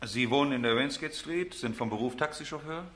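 An actor playing a formal prosecuting counsel in a courtroom radio play, questioning methodically. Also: male voice, medium pitch, fairly quick, fairly bright tone, natural voice.

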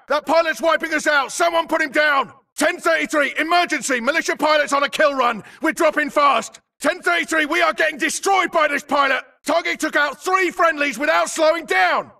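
An actor playing a tough, aggressive infantry grunt in a video game, barking clipped, urgent military callouts. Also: male voice, extremely high pitch, creaky, far from the mic, exaggerated voice. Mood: alarmed. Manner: clipped, urgent, forceful delivery. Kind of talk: military combat callouts, short shouted barks.

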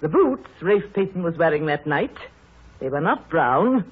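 Opinionated village busybody, very raspy, wildly animated, bossy, fussy, tinny 1930s radio play. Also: female voice, deep voice, unhurried, heavily acted. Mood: eager. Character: nosy, know-it-all village woman, opinionated amateur sleuth. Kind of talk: 1930s radio drama acting. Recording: muffled, scratchy 1930s radio broadcast.

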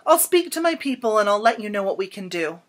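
A woman's voice: mid-range voice, big pitch swings, quick pace. A woman voicing a sympathetic male cockroach from a storybook, speaking with dramatic emphasis. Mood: reassuring. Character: sympathetic male cockroach, complaining about a filthy room.

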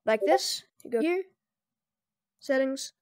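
A speaker giving informal, improvised step-by-step instructions in a casual screen-recorded software tutorial. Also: high-pitched, lively intonation, takes it slowly, dry recording, studio quality. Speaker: tutorial presenter.

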